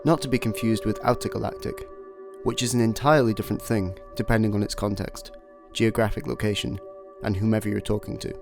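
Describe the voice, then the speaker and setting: A Scottish man acting as the wry, deliberate narrator of a comic sci-fi radio play, deadpan and mock-grand. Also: fairly low pitch, vocal fry. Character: wry, measured storyteller of a comic space adventure. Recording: music behind the voice.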